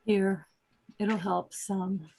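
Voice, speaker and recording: An actress playing a protective, anxious woman at a script table read, gently reassuring in a very husky, breathy voice. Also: medium pitch, fairly even intonation, very slow, heavily acted, low-quality recording.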